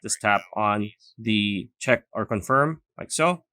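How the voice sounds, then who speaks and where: Low, bright-voiced man hosting a tutorial. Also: slow, no room sound, studio quality. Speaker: tutorial host. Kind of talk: casual step-by-step screen tutorial narration.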